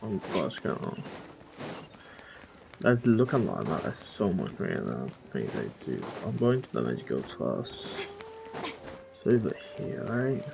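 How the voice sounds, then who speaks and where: A man's voice: sits low, very animated, unhurried, creaky, pauses often, background noise. A man streaming a video game, chatting casually and reading dialogue aloud as he plays. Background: game music.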